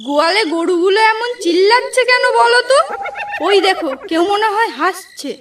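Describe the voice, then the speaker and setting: A woman's voice: extremely high pitch, expressive, normal speed, distant mic, very noisy. A woman voicing a worried, pleading village wife in a cartoon, fearful for her husband. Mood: uneasy. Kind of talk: cartoon voice acting.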